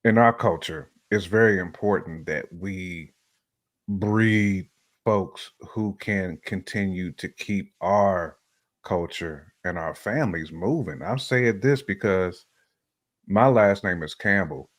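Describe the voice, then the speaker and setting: A middle-aged man with a very low voice talks informally as a show panelist. His speech is colloquial and conversational, captured close and dry. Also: slow pace, dry recording. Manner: informal, colloquial, laughs while talking. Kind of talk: casual opinionated chat.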